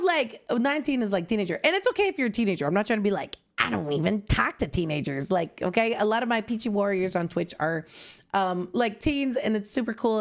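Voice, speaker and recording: Chatty young woman reacting to videos. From Canada. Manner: chatty, animated, swoops high and drops low. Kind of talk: casual reaction commentary.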